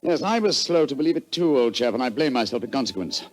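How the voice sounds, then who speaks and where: A male actor playing a courteous, commanding detective with an English accent and crisp formal delivery in 1940s radio drama style. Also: medium pitch, expressive, fast, lightly acted. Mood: reflective, explanatory. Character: brisk, courteous, commanding male detective, incisive and self-assured.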